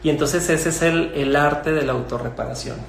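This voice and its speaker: A middle-aged man with a Mexican Spanish accent, a gynecologist explaining things in a measured way with fillers and restarts. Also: on the high side, vocal fry. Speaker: gynecologist guest. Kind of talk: conversational explanation. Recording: echoey room with faint ambience.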